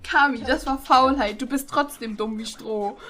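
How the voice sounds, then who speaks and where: A young woman chatting casually in a teasing, expressive way. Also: sits high, normal speed, soft background music. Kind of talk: casual chat.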